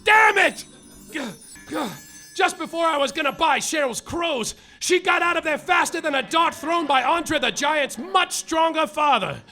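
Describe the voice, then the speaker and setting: An actor playing a bumbling, melodramatic private detective, narrating in a spoofed hardboiled style and bursting into shouted outbursts, on a live theater stage. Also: male voice, very high-pitched, measured pace, soft background music, full character voice. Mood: frantic. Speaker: actor in a live comedy audio play.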